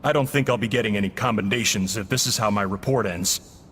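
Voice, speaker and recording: Actor as a smug, mocking villainous agent. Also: male voice, lowish voice, fast, a bit bright, a little hiss or hum, close to an everyday voice. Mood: wry, defiant. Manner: mocking, theatrical delivery. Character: smug, sardonic Fatui agent, a man, battered but defiant. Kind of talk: video game voice acting.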